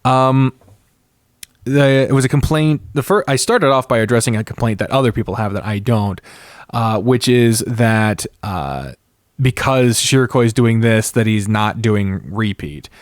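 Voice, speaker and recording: A man's voice: mid-range voice, a little slow, dry recording, studio quality. A man hosting a solo podcast about games, casually thinking aloud in a rambling, sweary commentary. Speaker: podcast host.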